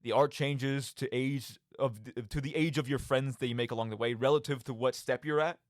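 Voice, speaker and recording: A man's voice: mid-range voice, steady tone, fairly quick, no room sound, studio quality. A man hosting a radio monologue about video games, speaking theatrically with rhetorical questions and direct asides to his listeners. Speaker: podcast host.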